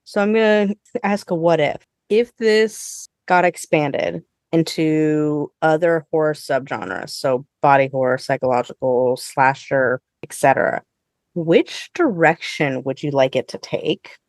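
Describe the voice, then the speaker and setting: A woman with a low voice chats casually as a podcast co-host. She talks conversationally, peppering her sentences with "like". The sound is dry, with very little room. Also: unhurried, a little mellow, no room sound. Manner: conversational, frequent "like". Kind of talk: casual chat.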